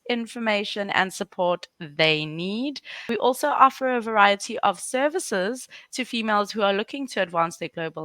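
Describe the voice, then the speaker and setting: A woman's voice: medium pitch, fairly bright tone, no echo. A woman hosting a show, opening with a polished introduction and then asking interview questions. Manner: upbeat, polished presenter delivery. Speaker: podcast host.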